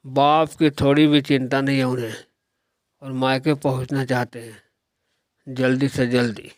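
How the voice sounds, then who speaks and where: A man's voice: mid-range voice, little pitch movement, a little slow, no room sound, clean studio sound. A man narrating a scripted moral story for a cartoon.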